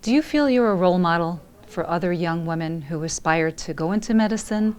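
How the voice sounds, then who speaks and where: A woman interviewer asking direct, brisk questions. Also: on the low side, a little mellow.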